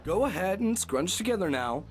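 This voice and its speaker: A man's voice: high voice, big pitch swings, moderate pace, faint music behind, voice pushed up. A man voicing a smarmy, creepy, bossy street artist in a visual novel read-aloud.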